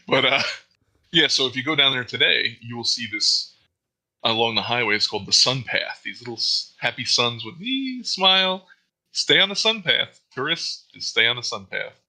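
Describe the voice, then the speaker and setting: A man's voice: medium pitch, expressive, moderate pace, bright, forceful tone, rough recording. A man from Florida, co-hosting a casual chat and telling stories in a profane, laid-back way. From Florida, USA. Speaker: co-host.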